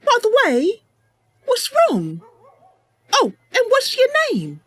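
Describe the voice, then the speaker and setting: Woman voicing a chirpy, very high-pitched young elf girl. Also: wildly swooping pitch, slow pace, no echo, full character voice. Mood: curious, friendly. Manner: bright, chirpy, polite, rustic grammar. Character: Mercy, cheerful, curious young female wood elf adventurer.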